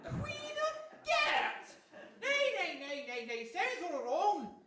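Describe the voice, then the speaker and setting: A woman's voice: extremely high pitch, huge pitch swings, slow pace, fairly bright tone, full character voice. A woman playing a cheeky, scoffing seagull with a teasing, folksy dialect in a live radio play. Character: cheeky, scoffing talking seagull who mocks a fisherman. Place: stage before a live audience in a hall. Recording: roomy hall, far from microphone.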